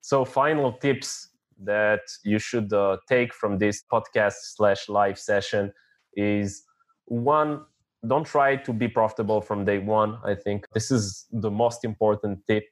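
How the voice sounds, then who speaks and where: A man whose first language is French, a podcast guest giving earnest advice in casual interview talk, often pausing to search for words with hesitant fillers. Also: on the low side, steady tone. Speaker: podcast guest, online store founder.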